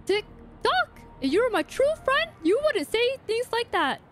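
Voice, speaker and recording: A woman's voice: very high-pitched, big pitch swings, measured pace, a bit bright, soft background music, pitched above the performer's own voice, full character voice. A woman voicing a chummy, boastful clock mascot from a game in a theatrical, sing-song way. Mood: reproachful.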